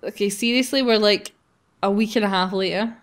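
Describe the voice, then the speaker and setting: A woman's voice: mid-range voice, a little fast. A woman hosting a gaming video, reading a visual novel aloud and breaking into casual banter.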